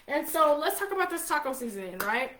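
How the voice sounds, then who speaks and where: A woman's voice: high-pitched, big pitch swings, a little fast, a bit bright, slight background noise. A woman in her forties hosting her own show in a casual, chatty way, congested with a slight cough and often repeating herself. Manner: chatty, repeats and restarts phrases. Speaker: host. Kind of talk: casual live-show chat. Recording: roomy echo.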